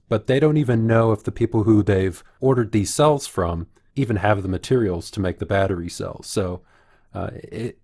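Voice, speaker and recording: A man with a fairly low voice gives analytical commentary. He speaks in a measured, explanatory manner, like a video commentator walking listeners through a report. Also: darkish tone. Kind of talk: analytical commentary, reading out quotes.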